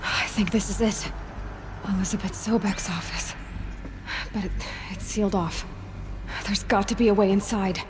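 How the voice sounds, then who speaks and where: A woman's voice: mid-range voice, steady tone, moderate pace, pauses often, natural voice. An actress playing a determined young female hunter who speaks in an earnest, questioning way.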